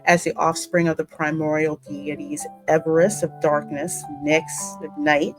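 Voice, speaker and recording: A woman co-hosting a gaming chat, explaining things in a measured way, as if sharing research. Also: deep voice, poor audio. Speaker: gaming channel co-host. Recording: music playing behind the voice.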